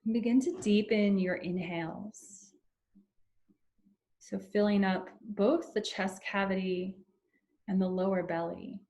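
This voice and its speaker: Slow, even-toned woman guiding meditation. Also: fairly low pitch. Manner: soothing, unhurried, with long pauses. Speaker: meditation guide. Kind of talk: guided meditation.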